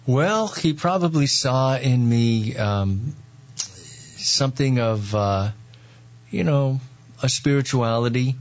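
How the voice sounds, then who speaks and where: A man with a New York accent, a priest, telling his story in an interview with many pauses and fillers. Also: medium pitch, lively intonation, lo-fi sound. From Long Island, New York. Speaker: priest, guest.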